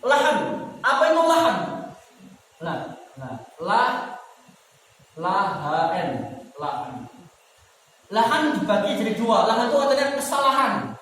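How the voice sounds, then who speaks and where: A man's voice: very high voice, big pitch swings, a little slow, pauses often, fairly bright tone, a little hiss or hum. Man teaching in an echoing mosque hall. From Indonesia. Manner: explains step by step, repeats key words, asks the class questions. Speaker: Quran recitation teacher. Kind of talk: mosque lesson on tajwid. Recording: roomy echo with long reverb, from far off.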